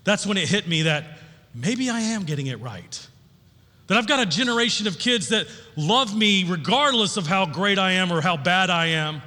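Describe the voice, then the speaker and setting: Bright, high-voiced male preacher in a reverberant hall. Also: expressive, moderate pace. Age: middle-aged. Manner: informal, folksy, candid. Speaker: pastor. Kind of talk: sermon with personal storytelling. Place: large church auditorium, on stage. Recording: long hall reverb from sound system.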